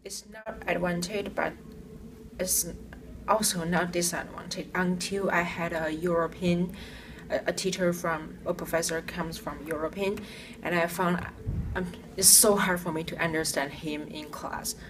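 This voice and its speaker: A woman, hesitantly answering interview questions in halting phrases. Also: lowish voice, little pitch movement. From China. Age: 20s. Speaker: student interviewee. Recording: distant mic with noticeable background noise.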